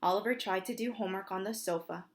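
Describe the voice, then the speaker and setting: A woman's voice: medium pitch, fast. A woman preschool teacher reads a storybook aloud for young children, expressively, with simple asides to her listeners.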